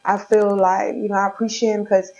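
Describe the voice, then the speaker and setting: A woman's voice: mid-range voice, flat delivery, brisk, vocal fry, mellow. A young woman from Brooklyn, a comedian talking frankly and streetwise in an interview, often laughing. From Brooklyn, New York. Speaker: comedian guest. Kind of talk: casual interview chat. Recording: dull lo-fi audio.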